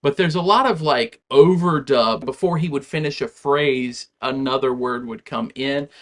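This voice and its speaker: Relaxed man chatting through a tutorial. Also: mid-range voice, moderate pace. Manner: relaxed, chatty, loose explanations with frequent "like". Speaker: home musician explaining a song recreation. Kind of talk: casual tutorial walkthrough.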